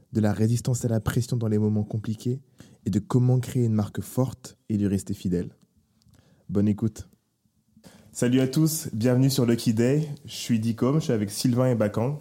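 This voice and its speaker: Podcast host, male. Very mellow, lowish voice. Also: steady tone, fairly quick, no room sound, studio quality. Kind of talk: scripted podcast intro and interviewing.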